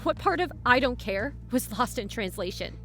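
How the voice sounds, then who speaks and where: Actress as hot-tempered young stepdaughter, high voice, swooping intonation, raw, sarcastic, audio drama. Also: female voice, animated, moderate pace, a bit bright, soft background music. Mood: furious. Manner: sarcastic, confrontational, emotionally raw.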